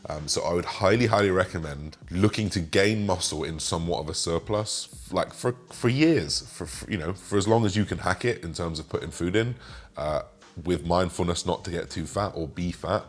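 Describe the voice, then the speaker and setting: A man in his twenties with a slightly raspy voice, chatting casually as he answers viewer questions and rambles with fillers. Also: low-pitched, normal speed. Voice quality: raspy today. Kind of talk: casual Q and A monologue.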